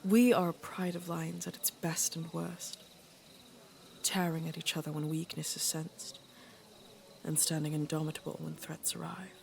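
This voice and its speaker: A woman playing an aging, regal queen and mother in a fantasy audio drama, speaking in a measured, deliberate and wry way. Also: deep voice, level tone, gravelly, a little mellow, a little hiss or hum. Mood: grave, worried. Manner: measured, deliberate, dryly teasing.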